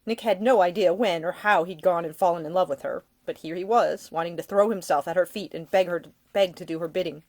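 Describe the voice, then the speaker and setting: A woman reading a novel aloud as its author, in expressive scripted narration. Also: fairly low pitch, fairly quick, hardly any pauses. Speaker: author reading own audiobook.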